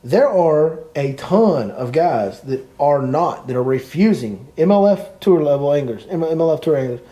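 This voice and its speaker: A man's voice: fairly high pitch, animated, moderate pace, warm tone. A man hosting a podcast, giving his opinion emphatically and repeating points as he rants. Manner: emphatic, repetitive, ranting. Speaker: podcast host and fishing guide.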